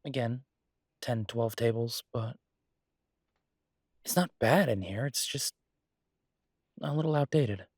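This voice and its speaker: Husky, easygoing chef boyfriend, acted by a man. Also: mid-range voice, darkish tone, no echo, studio quality. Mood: hopeful. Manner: halting, casual, reassuring, trailing pauses. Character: easygoing, earnest chef boyfriend coaxing his partner. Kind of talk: intimate roleplay audio drama, casual one-sided conversation.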